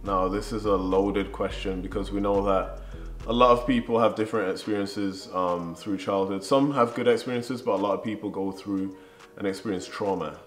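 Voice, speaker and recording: A man's voice: lowish voice, steady tone, normal speed. A young man co-hosting a vlog, giving casual relationship advice to camera. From Birmingham, England. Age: young adult. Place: living room, on a sofa. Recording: echoey room. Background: music.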